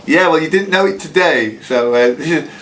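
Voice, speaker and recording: A man's voice: a little high, expressive, normal speed, a bit bright, slight background noise. A man hosting a live club quiz, reading out questions and slipping in teasing, jokey asides. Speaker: quiz host. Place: working men's club.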